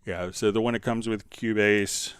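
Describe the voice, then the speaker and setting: A man hosting a live software tutorial, answering viewer questions casually and thinking aloud in a halting way as he demonstrates. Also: lowish voice, steady tone, clear, resonant tone, no echo.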